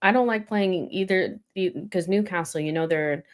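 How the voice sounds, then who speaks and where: A woman's voice: lowish voice, normal speed. A woman hosting a casual football chat, blunt and pessimistic. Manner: blunt, pessimistic fan venting. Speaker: host.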